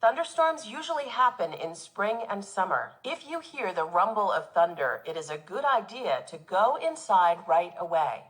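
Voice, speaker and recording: A woman teacher reading a nonfiction children's book aloud in an expressive, instructive way for young pupils. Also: medium pitch, normal speed, few pauses, poor audio.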